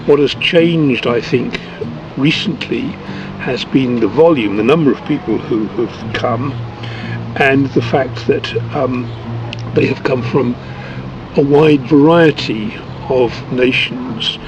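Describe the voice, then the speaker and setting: A man from England giving thoughtful, measured interview answers, sometimes restarting a phrase. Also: medium pitch, dull audio, over music.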